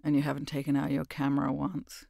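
A narrator voicing a menacing man in his forties with an American accent, who speaks low, quiet and clipped. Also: monotone, fast, vocal fry, no room sound, clean studio sound.

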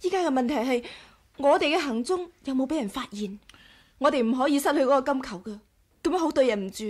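High-voiced, resourceful Hong Kong adventuress. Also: female voice, animated, quick pace, a little mellow. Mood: urgent, concerned. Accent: Hong Kong Cantonese. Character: sharp, resourceful adventuress, cautious and conscientious. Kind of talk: 1980s Cantonese radio drama acting.

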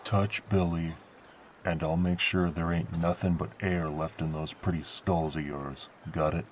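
A man plays a burly, surly brother with a very deep voice. He talks in a gruff, sarcastic way and threatens easily. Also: little pitch movement, unhurried, slight background noise.

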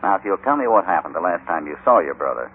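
An actor playing a calm, commanding cowboy hero in a radio western, with an assured American voice. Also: male voice, sits low, quick pace. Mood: reassuring. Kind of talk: vintage radio western acting. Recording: tinny, noisy old radio recording.

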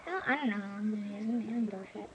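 A woman's voice: medium pitch, rapid-fire. A young woman joking around in front of her webcam in a small room, rambling in a silly way about how she looks. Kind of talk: playful webcam monologue with face filters. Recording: noisy webcam recording.

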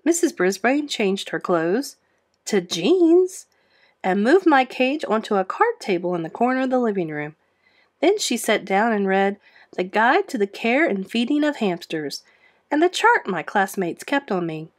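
Lively woman reading a children's story. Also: medium pitch, a little slow. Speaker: read-aloud narrator. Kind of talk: expressive children's storybook narration.

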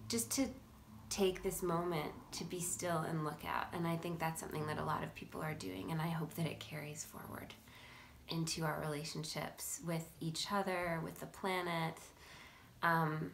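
A woman's voice: fairly low pitch, a little hiss or hum. A middle-aged woman, a playwright talking informally and reflectively to camera in a room at home. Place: indoors, furnished room at home.

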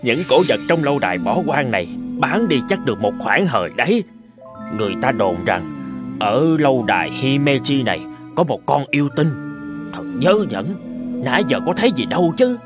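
Man as animated, greedy cowardly thief. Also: medium pitch, moderate pace, music in the background, lightly acted. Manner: theatrical, jittery. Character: greedy, cowardly male thief in haunted castle. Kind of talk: children's cartoon dubbing.

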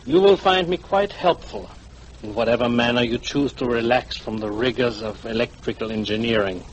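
A man's voice: mid-range voice, moderate pace, close to an everyday voice. Oily, flowery fat fixer played by a man, middle-aged, ingratiating, 1940s radio drama with background noise. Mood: oily, eager. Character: sly, oily, florid fat middle-aged tourist guide. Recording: noisy 1940s radio broadcast.

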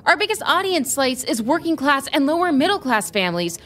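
A woman playing a pushy, driven crime reporter in an audio drama, brisk and insistent. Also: high voice, very animated, fairly bright tone, slight background noise. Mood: argumentative. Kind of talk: naturalistic audio drama acting.

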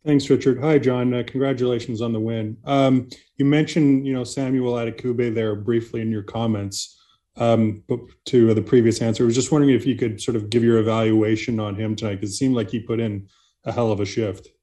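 A man's voice: medium pitch, fairly even intonation, a little fast, mellow. A man asking a polite, slightly hesitant question as a reporter at a press conference.

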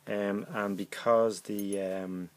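A middle-aged man giving a casual, halting DIY demonstration as a hobbyist, thinking aloud as he goes. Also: lowish voice, flat delivery. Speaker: hobbyist presenter.

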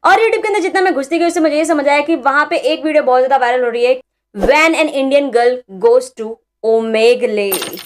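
A young woman talks through clips in a high, lively voice, teasing and exclaiming as she reacts. A faint hiss sits under her voice. Her tone stays casual and mocking throughout. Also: normal speed. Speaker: reaction vlogger.

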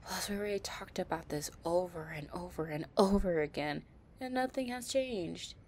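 A woman's voice: on the low side, normal speed, a little mellow, slight background noise, natural voice. A woman playing a tough but tender, anxious delinquent girlfriend in an intimate roleplay audio, murmuring breathily. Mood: frustrated.

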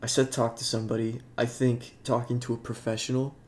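A young man with a congested voice, talking in a halting, soft-spoken way with many fillers over game footage. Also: mid-range voice, flat delivery, slight background noise. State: congested, sniffling. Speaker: YouTuber. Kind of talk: casual talk over gameplay.

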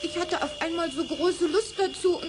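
A woman's voice: very high-pitched, moderate pace. A woman playing a timid but eager young witch in a children's radio play, pleading and stammering in a girlish way. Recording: old, very noisy radio play record.

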